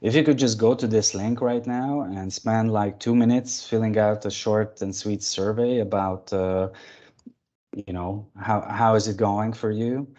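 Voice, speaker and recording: A man from Hungary with a Hungarian accent, giving an informal tech presentation in a halting way with repeated words. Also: lowish voice, steady tone, dark tone. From Budapest, Hungary. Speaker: guest presenter of a lightning talk. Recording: dull, band-limited online call audio.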